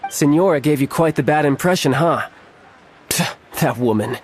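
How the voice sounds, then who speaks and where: An actor playing a suave, playful young envoy in a game cutscene, smooth, teasing and glib. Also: male voice, fairly high pitch, normal speed, a little hiss or hum, lightly acted. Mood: playful, reassuring.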